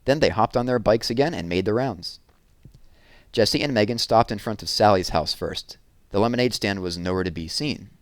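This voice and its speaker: A man with a fairly low voice narrates a children's book in a read-aloud style. He works as a storyteller, and the sound is dry with little room in it. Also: moderate pace, no room sound.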